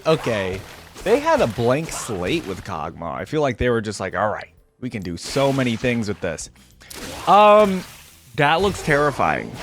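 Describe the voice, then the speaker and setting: A young man reacts casually to what he's watching, thinking out loud and breaking into exclamations and laughs. His pitch sits in a middle register but swings widely as he reacts. Faint music plays behind him. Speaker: reaction video host.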